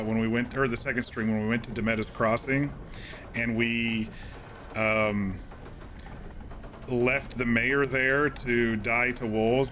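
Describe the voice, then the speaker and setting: A man streaming a game, casually recapping events in a calm, rambling way with many fillers and restarts. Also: medium pitch, slight background noise.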